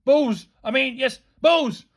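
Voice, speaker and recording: Lady doing a manic storybook schoolmaster, thundering and repetitive, voice pushed way up high. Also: lively intonation, moderate pace, gravelly, husky. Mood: flustered. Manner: bellowing, repetitive, spluttering. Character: manic, thundering male schoolteacher obsessed with banning balls.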